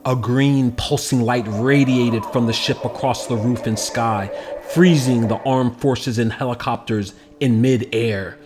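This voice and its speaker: A man's voice: medium pitch, fairly even intonation, measured pace. Man reading a storybook, noisy background. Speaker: storyteller reading a picture book aloud. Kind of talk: expressive scripted children's storybook narration.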